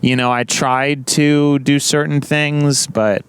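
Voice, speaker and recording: A young man with a naturally loud voice, rambling casually to the camera with many restarts and self-corrections. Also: mid-range voice, level tone, slow, clear, resonant tone. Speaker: vlogger.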